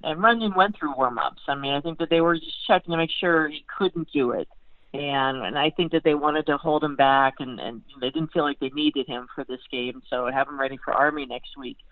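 A woman's voice: low voice, dry recording. Woman sports analyst on a tinny phone line. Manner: halting, with frequent repeated words. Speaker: newspaper beat writer, guest analyst. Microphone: phone call.

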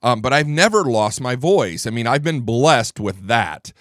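Rambling gravel-voiced male talk host. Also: mid-range voice, lively intonation, a little slow, fairly bright tone, no room sound, clean studio sound. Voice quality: strong voice, gravelly when tired. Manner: rambling, stammering restarts, blunt. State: under the weather, low energy. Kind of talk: casual political talk show monologue. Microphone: studio microphone.

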